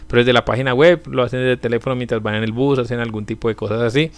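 A young man speaks in a middle, unremarkable pitch, walking through a website step by step. His delivery is casual and explanatory, sprinkled with everyday fillers. He sounds close to the mic in a small room. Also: fairly even intonation, very fast, clear, resonant tone. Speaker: channel host. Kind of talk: screen-recorded tutorial walkthrough.

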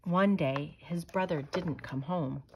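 A woman reading a children's picture book aloud in a measured, expressive way. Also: fairly low pitch, slight background noise, low-quality recording. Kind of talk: storybook read-aloud.

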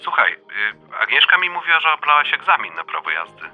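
An actor playing a blunt, wry middle-aged son in a radio drama, speaking colloquial with a dryly teasing manner. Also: male voice, a little high, very animated, a little fast, phone-quality sound, soft background music. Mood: curious. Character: blunt, wry adult son, uneasy new father. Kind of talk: radio drama dialogue, phone conversation.

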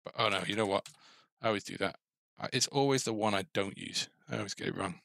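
Low, gravelly man coding out loud. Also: quick pace, no room sound. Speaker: game developer. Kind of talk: casual coding commentary. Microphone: headset.

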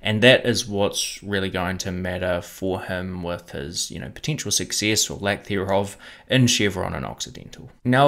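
A young man hosting an investing channel, explaining stock purchases to camera in a steady scripted way from a room at home. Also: low-pitched. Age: young adult. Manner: measured, explanatory, steady delivery. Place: home room with bookshelf, indoors.

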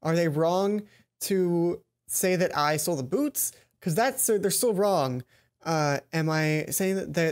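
Excitable young man playing a game. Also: fairly high pitch. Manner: excitable, rambling thinking aloud. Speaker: let's play host. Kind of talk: let's play commentary. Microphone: headset.

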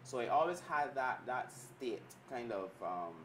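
A man in his thirties from Barbados, a music executive answering interview questions in a measured, reflective way. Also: medium pitch, lively intonation, distant mic, a little hiss or hum. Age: 30s. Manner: measured, reflective storytelling with restarts. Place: indoor studio set with glass table and armchairs.

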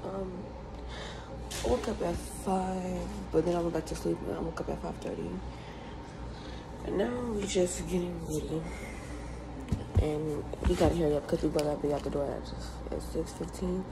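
A young woman talks into a phone held close in a small dorm room. Her voice is hoarse and gravelly, worn down from shouting, and she sounds exhausted but keeps chatting. The recording is noisy and unpolished. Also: on the low side, steady tone, normal speed, pauses often. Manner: chatty, rambling, slangy. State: very tired. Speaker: vlogger at cheer camp. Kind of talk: casual selfie vlog chat. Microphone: phone held close at arm's length.

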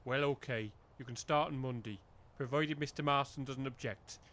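A man's voice: mid-range voice, moderate pace, fairly bright tone, lightly acted. A man playing a weary, wary village working man who grumbles in rustic dialect, in a comedy audio drama. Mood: grudging.

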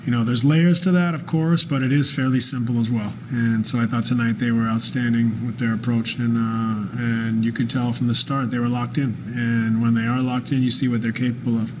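A man in his forties, a basketball head coach answering postgame press questions in a calm, thoughtful way, often pausing with fillers and restarting phrases. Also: mid-range voice, slight background noise, rough recording. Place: press room with sponsor backdrop, indoors. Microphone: desk microphone at arm's length.

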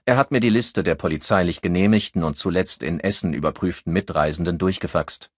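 Man playing a careful, husky male detective. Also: sits low, fairly quick, no room sound, clean studio sound. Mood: cautious, factual. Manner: careful, factual reporting. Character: cautious, dutiful male police detective reporting to his boss. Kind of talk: audiobook character dialogue.

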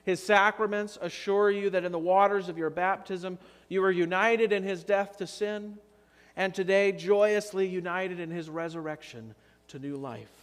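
A man in his thirties delivers a sermon in an earnest, unhurried way, leaning on pauses for emphasis. His voice sits a little high for a man. The church sanctuary around him lets every phrase ring out with a long reverb. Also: clear, resonant tone. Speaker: pastor. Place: church sanctuary, standing at the altar.

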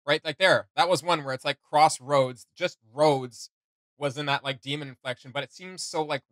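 Bright-voiced young man, dry close mic. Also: fairly high pitch, moderate pace, dry recording. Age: young adult. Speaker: reaction video host. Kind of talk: casual critical commentary. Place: small home studio room.

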